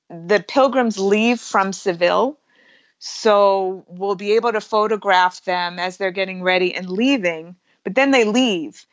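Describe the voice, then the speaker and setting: A woman's voice: medium pitch, dry recording, highs cut off. A woman talking casually and enthusiastically in an interview as a guest who teaches photography workshops.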